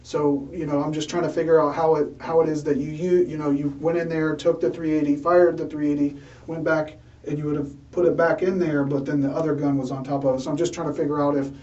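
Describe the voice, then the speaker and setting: A male detective questions slowly and hesitantly, circling back over his own words. His voice is fairly high but mellow. He sounds distant and muffled in an echoey interrogation room. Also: level tone. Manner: hesitant, repeats himself, many fillers. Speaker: police detective. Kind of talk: police interrogation.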